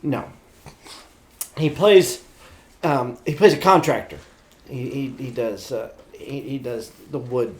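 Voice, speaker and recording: A middle-aged man co-hosting a podcast, telling stories about pop culture in a blunt, animated, slightly crude casual way. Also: medium pitch, takes it slowly, pauses often. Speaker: podcast co-host.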